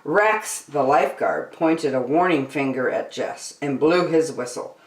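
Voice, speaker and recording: A woman reading a children's picture book aloud as host, deliberately phrase by phrase, in an echoey room. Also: low-pitched, animated. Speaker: storytime host and reader. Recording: roomy echo.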